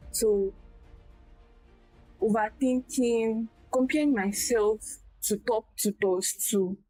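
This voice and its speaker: A young woman speaks in a small, quiet voice as a guest tutor in a casual interview. She talks haltingly, often restarting her sentences. Faint music plays underneath. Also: mid-range voice, low-quality recording. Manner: soft-spoken, halting with restarts. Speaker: guest, tech skills tutor.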